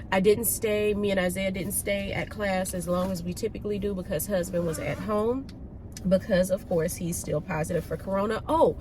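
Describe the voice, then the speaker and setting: Woman, nasal and congested with a cold, casual slangy vlog chat, mid-pitched, clear background noise in a car. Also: darkish tone. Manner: chatty, informal and slangy. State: stuffed up with a cold. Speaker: vlog host. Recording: noisy car ambience.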